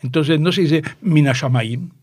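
An older man with a northern Moroccan Judeo-Spanish accent and a fairly high-pitched voice, recorded dry. He tells things conversationally and playfully, wandering off to explain dialect words. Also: normal speed, darkish tone, slightly rough, no echo. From Tangier, Morocco. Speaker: interview guest, author. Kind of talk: conversational storytelling.

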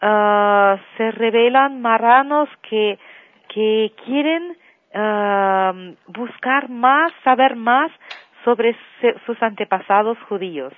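Brazilian woman speaking slowly over a phone line. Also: on the high side, no echo. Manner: slow, warm storytelling with drawn-out fillers, sings softly at times. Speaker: singer, interview guest. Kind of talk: phone interview. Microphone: telephone.

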